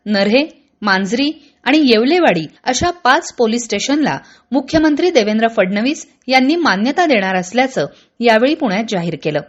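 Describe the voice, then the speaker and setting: A woman reads radio news. Her delivery is formal and measured, following a script. The sound is a little dull and band-limited. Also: a little high. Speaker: radio newsreader.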